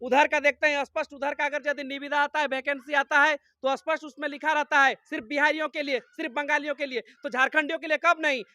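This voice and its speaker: A man's voice: very high-pitched, flat delivery, fast talker, hardly any pauses, sharp tone, dry recording, studio quality. A man with a Jharkhand accent, a farm worker being interviewed, making an impassioned political appeal in an insistent, emphatic way with repeated phrases.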